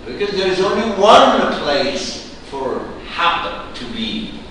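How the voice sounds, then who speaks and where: A man teaching a reflective philosophy class, musing slowly with frequent pauses. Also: high voice, sing-song, background noise. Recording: echoey hall, mic far off.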